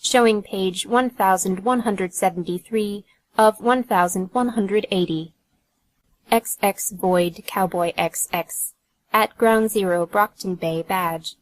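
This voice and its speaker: A woman's voice: mid-range voice, takes it slowly, rough recording. A woman narrating an audiobook from a script in a neutral, announcing manner.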